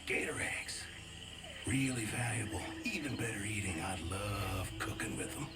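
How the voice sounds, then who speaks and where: A man's voice: lowish voice, normal speed, over loud music, lightly acted. An actor playing a jaunty, boastful older camp cook and former navy man in a video game, theatrical and cajoling. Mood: conspiratorial. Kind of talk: video game character acting.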